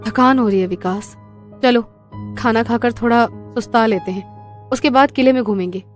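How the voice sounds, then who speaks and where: An actress playing a worried, loyal young woman with concerned, dramatic delivery in an animated horror story. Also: on the high side, moderate pace, soft background music, lightly acted. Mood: tired, pleading.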